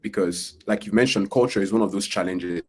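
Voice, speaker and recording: A man's voice: low-pitched, fairly quick, breathy edge. A man hosting an interview podcast, asking long rambling questions full of fillers and tag questions. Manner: rambling, filler-heavy questions with frequent "right?". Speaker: podcast host. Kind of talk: casual interview talk.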